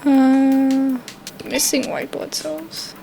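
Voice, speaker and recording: A woman's voice: high voice, level tone, very slow, vocal fry, over music, low-quality recording. A woman reading a game's narration aloud and adding casual commentary as she plays. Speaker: gamer playing and reading a game aloud.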